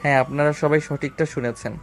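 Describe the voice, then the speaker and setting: A man's voice: fairly high pitch, steady tone, brisk, faint music behind, close to an everyday voice. A man acting a bitter young self-made businessman, a wounded lover, in a romantic audio story. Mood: defiant, accusing.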